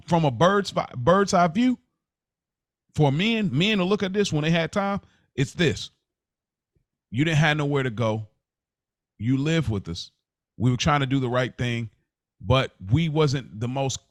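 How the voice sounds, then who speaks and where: A man's voice: mid-range voice, dry recording, studio quality. An American man co-hosting a podcast, talking casually and reflectively, often repeating and rephrasing as he explains. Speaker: podcast co-host.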